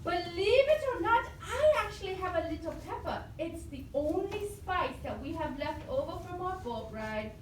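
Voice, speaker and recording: A woman voicing a clever, confident squirrel cook in a children's puppet show, savoring words in a deliberate way. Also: extremely high pitch, very animated. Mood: sly, cheerful. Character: clever, confident traveling squirrel cooking magic soup. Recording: echoey room, distant mic, faint noise.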